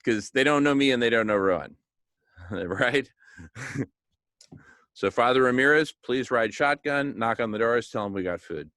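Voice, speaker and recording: A man with a mid-pitched voice gives an informal, motivational planning talk. He is enthusiastic and emphatic, with a joking streak and a habit of seeking agreement. The sound is close and dry. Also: animated, unhurried, long pauses, fairly bright tone, no room sound. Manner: jokey, chuckles at his own remarks. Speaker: organizer of a food charity project.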